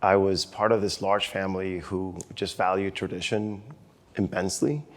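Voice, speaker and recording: A man from Guatemala introduces himself on a panel. His voice is very deep, and he speaks in a measured way. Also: fairly even intonation. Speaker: architect panelist.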